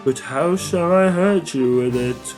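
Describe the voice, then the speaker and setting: A teenage boy voicing a menacing man who copies his every move, speaking slowly and formally. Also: a little high, music in the background, pitched above the performer's own voice, rough recording. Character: menacing copycat stalker man, slow and formal.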